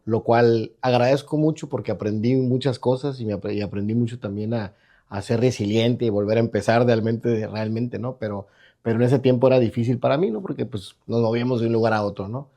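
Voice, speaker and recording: Middle-aged Mexican man, Mexican Spanish accent, mellow tone; podcast guest telling his story, loose and rambling with restarts. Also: medium pitch, fairly quick. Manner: rambling with many restarts and tag questions. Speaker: guest entrepreneur. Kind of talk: casual interview talk. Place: his own podcast studio.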